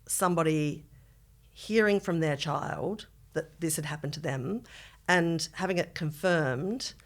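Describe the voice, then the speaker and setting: A middle-aged woman with a low-pitched voice. She talks as a podcast co-host in serious conversation, circling through asides and qualifications as she goes. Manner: discursive, qualifying herself with asides.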